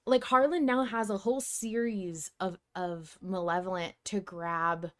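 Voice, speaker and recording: A woman's voice: mid-range voice, fairly even intonation, measured pace. A woman hosting a podcast in casual fan-chat style, gushing with enthusiasm and swearing casually. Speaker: podcast host.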